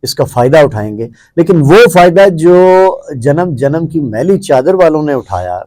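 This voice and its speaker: A man's voice: a little high, sing-song, dark tone, dry recording. A man with a Pakistani accent asking questions as an interviewer in a conversational style.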